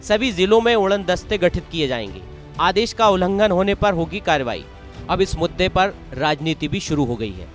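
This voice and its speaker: A man's voice: high-pitched, big pitch swings, normal speed. A man reading scripted news narration in a formal, emphatic way. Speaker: news presenter. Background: background music.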